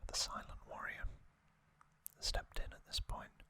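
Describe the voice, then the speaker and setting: A man whispering an ASMR recap as host, hushed and halting, in short, drawn-out phrases.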